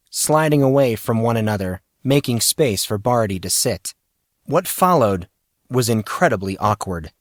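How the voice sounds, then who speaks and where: A man's voice: medium pitch, dry recording, clean studio sound. A man reading scripted fiction narration as the narrator of an audio story.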